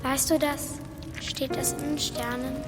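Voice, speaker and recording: A woman's voice: high voice, level tone, measured pace. A woman playing a curious, impulsive schoolgirl adventurer in a children's radio play, eager and earnest. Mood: troubled, earnest. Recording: reverberant cave-like space, music behind.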